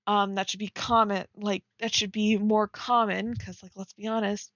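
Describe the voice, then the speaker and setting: A woman's voice: mid-range voice, level tone, moderate pace, fairly bright tone, no room sound. A young woman chatting casually as she co-hosts a fan fiction reading, rambling tentatively and leaning on filler words. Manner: rambling, tentative, heavy use of "like". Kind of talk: casual chat with read-aloud passages. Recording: dry, slightly dull lo-fi mic.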